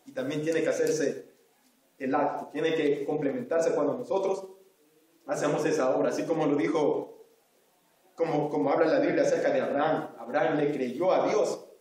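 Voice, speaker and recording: A man's voice: on the high side, fairly even intonation, moderate pace, darkish tone. A man from El Salvador with a Salvadoran Spanish accent, teaching an earnest Sunday school lesson and repeating himself as he addresses his brothers. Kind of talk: sermon. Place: church hall. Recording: very echoey hall, voice from far off.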